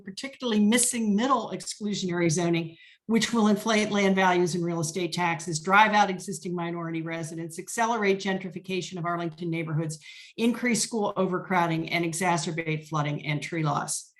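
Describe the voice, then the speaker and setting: A woman candidate with a lowish voice answers firmly over a video call. She runs quickly through a list of points, one after another. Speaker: political candidate.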